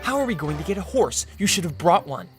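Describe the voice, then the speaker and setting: A man's voice: high-pitched, sing-song, fast, music in the background. A man playing an anxious, reluctant, centuries-old vampire count with a hesitant manner, in a radio drama. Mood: worried, reluctant.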